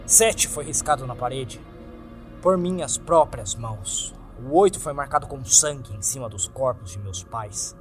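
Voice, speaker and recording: A man's voice: mid-range voice, lively intonation, unhurried. A man with a Brazilian accent reading a scripted horror story as narrator, in a dramatic, suspenseful, theatrical way. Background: music.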